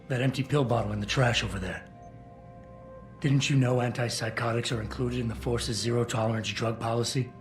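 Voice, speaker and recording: A man's voice: mid-range voice, steady tone, a little fast, faint music behind, natural voice. A man voicing a grim, blunt male augmented ex-cop agent in a game, with a curt, cold manner. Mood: flat.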